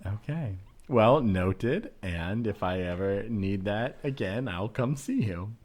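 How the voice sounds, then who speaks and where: A man's voice: fairly low pitch, lively intonation, slow, darkish tone. A man performing improvised comedy scenes as part of a show. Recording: background noise and added ambience. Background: added ambient soundscape.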